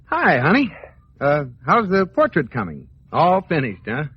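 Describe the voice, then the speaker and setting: An actor playing a jealous, insecure and boastful banker husband in an old radio comedy, hesitant and blustering. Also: male voice, fairly high pitch, huge pitch swings, a little slow. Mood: cheerful. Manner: hesitant, blustering, exaggerated reactions. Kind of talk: 1940s-50s radio comedy acting. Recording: muffled, hissy vintage radio recording.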